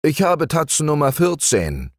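A man voicing a vain, fussy male bear in a storybook reading, raising his voice high and making it whiny. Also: wildly swooping pitch, a little slow, no echo, studio quality, full character voice.

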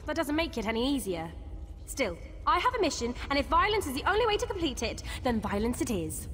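High, animated, wry young adventurer. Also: female voice, a little fast, big-room sound, slight background noise. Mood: resolved, earnest. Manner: expressive, wry. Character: spirited young female adventurer, chatty and earnest. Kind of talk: video game character dialogue.

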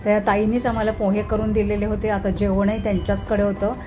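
A woman's voice: medium pitch, little pitch movement, fast talker. Chatty woman vlogger over music. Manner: enthusiastic, points things out to viewers. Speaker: travel vlogger. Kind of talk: casual narration touring a farm. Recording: music playing underneath.

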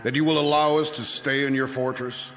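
An actor playing an older army colonel who speaks curtly and makes demands, in a radio drama. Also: male voice, mid-range voice, flat delivery, fairly quick, a bit bright, long reverb tail, soft background music, lightly acted. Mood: demanding. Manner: curt, demanding.

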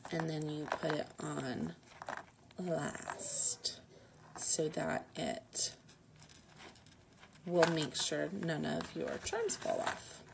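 A young woman chatting casually to camera in a home vlog, rambling with lots of fillers and soft half-whispered asides. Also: low voice, extremely slow, vocal fry, long pauses, background noise. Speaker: lifestyle vlogger. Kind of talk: casual unboxing chat to camera. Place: home room, indoors.

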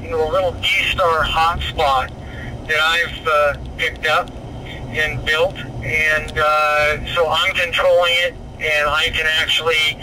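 An American man speaks casually over a digital radio in a flat, even tone. His voice sits a little high, and clear car noise surrounds it. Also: takes it slowly. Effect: heard over a digital radio. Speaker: amateur radio operator.

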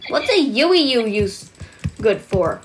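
A woman's voice: on the high side, sing-song, takes it slowly. A young woman chatting casually while gaming, with playful mock trash talk. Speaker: gamer on a team play channel. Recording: noisy mic with key clicks. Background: keyboard typing and clicking.

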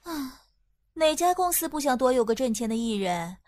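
A woman's voice: high-pitched, very animated, moderate pace, a little mellow. A woman acting in an audio drama as a shrewd, self-assured, teasing confidante to a star. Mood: persuasive.

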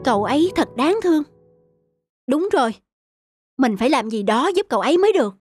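A woman's voice: high voice, sing-song, a little fast, faint music behind. A woman voicing a kind, helpful young boy in a cartoon, earnest and encouraging. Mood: sympathetic. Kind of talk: cartoon dubbing.

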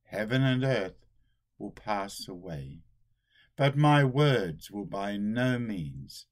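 An older man, a priest, giving a solemn sermon at a slow, deliberate pace, recorded on a webcam in a small room at home. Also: fairly low pitch, animated.

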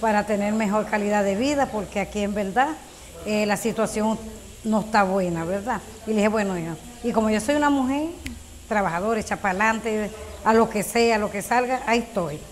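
A woman's voice: mid-range voice, a little fast. A woman in her fifties from Venezuela, telling her story in a documentary interview, hesitant with many fillers. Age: 50s.

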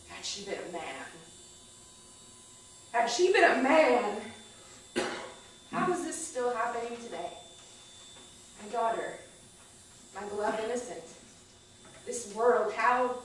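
A woman performing a dramatic, emphatic spoken-word monologue on a small stage. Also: medium pitch. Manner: deliberate, emphatic repetition. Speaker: performer at a live stage reading. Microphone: distant camera microphone. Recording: echoey theater, far-off camera, faint noise.

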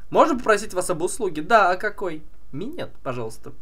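A man's voice: sits high, fairly quick, husky. A young man giving casual, joking livestream commentary as he reacts to a video. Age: young adult. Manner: joking, animated. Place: small indoor room.

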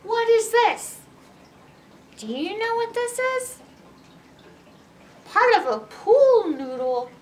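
A middle-aged woman leads story time for small children, taking her time over each phrase. Her fairly high voice swings widely up and down in an exaggerated, teacherly way. She is a little way from the mic. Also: takes it slowly, pauses often. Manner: animated, exaggerated, teacherly, asking questions. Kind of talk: read-aloud story time for young children. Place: indoors in a library, by bookshelves. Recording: roomy distance from mic, background noise.

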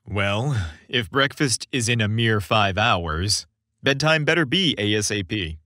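A man's voice: lowish voice, expressive, measured pace, sharp tone, no echo, clean studio sound. A man voicing Santa's playful, teasing young son in a casual storybook dialogue style.

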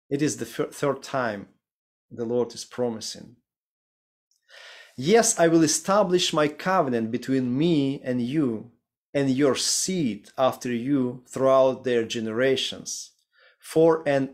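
A man in his thirties with a Ukrainian accent, preaching a sermon and reading scripture slowly and deliberately over a video call from a home study. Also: medium pitch, darkish tone. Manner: slow, deliberate reading with careful pauses. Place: home study with bookshelves, indoors. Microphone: video call webcam.

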